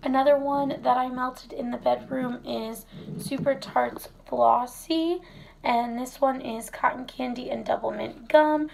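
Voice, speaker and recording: Hoarse woman, fried, gravelly voice, slow talk, faint room noise, kitchen phone recording. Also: high-pitched, low-quality recording. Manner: chatty, relaxed, many fillers. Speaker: wax melt reviewer. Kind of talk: casual product review vlog. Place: home kitchen, indoors.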